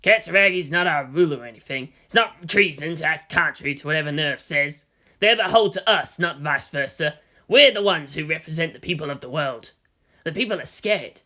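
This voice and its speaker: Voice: mid-range voice, lively intonation, fairly quick, pushed and bright. A narrator voicing a sour, defensive middle-aged prime minister in a story, hissing with indignation. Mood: defensive anger.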